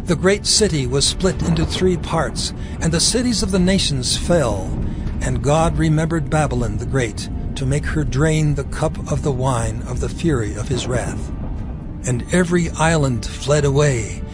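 Casting: male scripture narrator, solemn, somewhat high-pitched, faint music bed. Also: unhurried.

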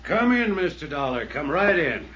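A man's voice: a little high, big pitch swings, moderate pace, noisy background. An actor playing an evasive male small-town mayor who speaks in a formal, placating, officious way in a 1950s radio drama. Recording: dull old radio transcription.

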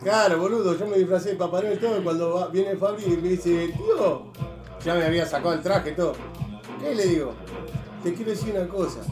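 A high-pitched Argentine man with a Rioplatense accent, spinning rowdy stories full of swearing. The room rings with echo, and music plays clearly behind him. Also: brisk. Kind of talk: casual storytelling.

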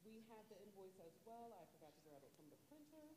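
A woman teacher with a loud voice presenting a field trip proposal to a school board in an upbeat, brisk way. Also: mid-range voice, big pitch swings. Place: large school auditorium. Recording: echoey auditorium with long reverb and background noise.

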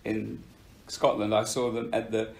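A man interviewing a musician in casual chat, enthusiastic and full of quick interjections. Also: lowish voice, flat delivery, gravelly, roomy sound. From England.

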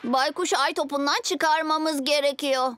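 A woman's voice: high-pitched, steady tone, normal speed, loud music behind the voice, lightly acted. A woman voicing a supportive six-year-old superhero boy leader in a children's cartoon dub, childlike and encouraging. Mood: concerned.

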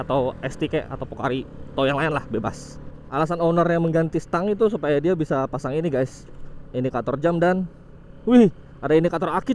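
Young man, riding commentary, helmet mic, faint traffic hum. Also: fairly high pitch. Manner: chatty, relaxed, informal with frequent "guys". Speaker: motovlog host. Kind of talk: casual motovlog commentary while riding. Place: on a motorcycle on a city road outdoors.

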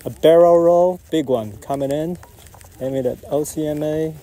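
A man flying a model jet outdoors, calmly calling out each maneuver in short phrases as he flies. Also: fairly high pitch, normal speed, a little hiss or hum. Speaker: RC jet pilot. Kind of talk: live flight commentary. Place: outdoors at an RC airfield.

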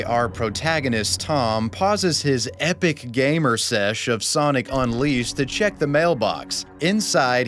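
A man's voice: mid-range voice, a bit bright. Man dramatically reading a horror story. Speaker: guest narrator reading a story. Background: background music.

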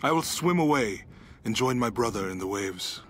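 A man's voice: medium pitch, expressive, normal speed, over music, close to an everyday voice. An actor playing a solemn, haunted, mystical man, brooding and poetic in a game monologue. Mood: grim resignation. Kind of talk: dramatic game voice acting, monologue.

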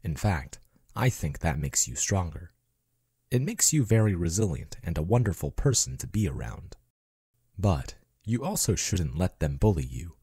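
A man acts out a devoted AI companion in an ASMR roleplay. His voice is very low, mellow and husky, with lively swings in intonation. He reassures tenderly and earnestly, with a possessive streak. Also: moderate pace, dry recording, clean studio sound, full character voice. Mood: tender, encouraging. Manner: soothing, earnest, affectionate reassurance. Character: devoted male chatbot robot companion, tender, possessive, falling in love.

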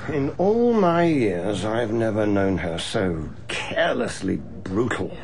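An actor playing a world-weary, haughty senior civil servant in a radio drama, with an urbane, sardonic English accent. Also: male voice, on the low side, very animated, takes it slowly, a little mellow, exaggerated voice. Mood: weary, grave.

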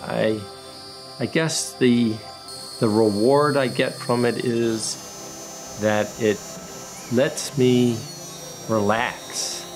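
An older man, a retired engineer, reflecting slowly on his woodworking in a documentary interview, with many pauses and restarts. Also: medium pitch, steady tone, music in the background, poor audio. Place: woodworking shop in a farm building.

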